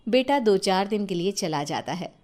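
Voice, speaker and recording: A woman's voice: medium pitch, expressive, normal speed, a little mellow. A woman narrator reading a scripted family story.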